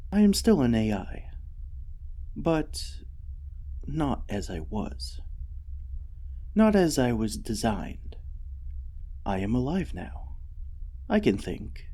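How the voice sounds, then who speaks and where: A man voicing a gentle, devoted mech AI in a soft, soothing, earnest ASMR roleplay. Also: medium pitch, breathy edge, exaggerated voice. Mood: earnest, tender. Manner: soothing, earnest, hesitant, often dropping to a whisper. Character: gentle, devoted mech artificial intelligence comforting its pilot.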